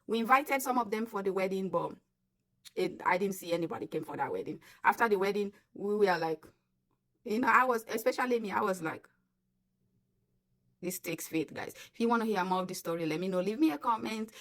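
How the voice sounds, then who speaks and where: A woman's voice: mid-range voice, a little fast, a bit bright. A woman from Sierra Leone with a Sierra Leonean accent, chatting to her viewers as a vlogger, emphatic and personal, often calling them guys. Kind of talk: casual storytelling to camera.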